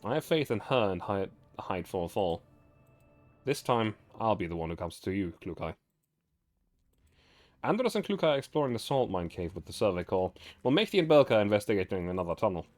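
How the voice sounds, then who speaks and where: Male game streamer, lively varied pitch, slightly raspy, halting read-aloud of story text, music behind. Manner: halting, often stumbles and corrects words while reading. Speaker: livestream host.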